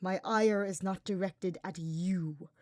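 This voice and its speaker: Woman voicing a brooding, sardonic male dark mage. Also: lowish voice, fairly even intonation, takes it slowly, no room sound, clean studio sound. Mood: bitter sarcasm. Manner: formal, biting, dramatic.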